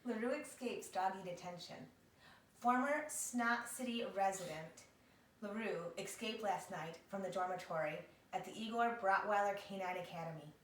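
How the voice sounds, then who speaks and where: A woman reads a children's storybook aloud with expression, at a middling pitch. Her voice is echoey and sits some way from the microphone. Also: moderate pace. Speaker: storybook reader. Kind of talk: expressive picture-book read-aloud. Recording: echoey room, distant microphone.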